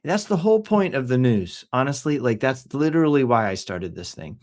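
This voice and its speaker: A middle-aged man hosting a livestream, talking casually and jokily in an animated, rambling, off-the-cuff way with rhetorical questions. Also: mid-range voice, brisk. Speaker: host of a livestream news show. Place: office.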